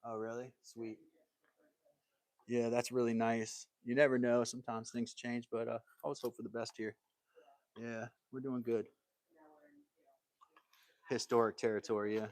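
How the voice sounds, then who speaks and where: A man's voice: mid-range voice, little pitch movement, measured pace, pauses often, a little mellow, dry recording. A man hosting a casual woodworking livestream from his workshop, talking in an easygoing, rambling way and answering viewer comments. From United States.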